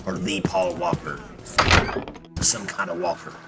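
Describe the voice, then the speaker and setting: A man's voice: deep voice, steady tone, unhurried, very noisy. A man giving casual, rambling gameplay commentary as a streamer, jokey and profane. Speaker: video game streamer. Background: game music.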